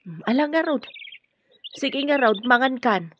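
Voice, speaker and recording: A woman's voice: sits high, animated, a little slow, fairly bright tone. A woman playing a deferential, concerned household helper in an Ilocano radio drama, respectful and coaxing. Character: deferential, concerned female household helper, plain-spoken and curious. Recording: muffled radio drama recording, faint hiss.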